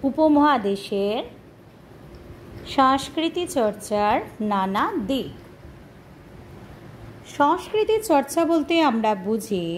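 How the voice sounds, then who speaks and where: A woman teaching a question-and-answer lesson, pausing deliberately between short phrases as if dictating to pupils. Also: a little high, a little mellow, a little hiss or hum, poor audio. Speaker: teacher presenting a history lesson.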